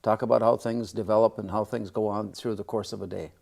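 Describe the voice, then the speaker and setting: An older man from Minnesota, a radio announcer reminiscing in an interview with measured, hesitant phrasing. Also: on the low side, fairly even intonation, gravelly, a little mellow. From Minnesota, USA.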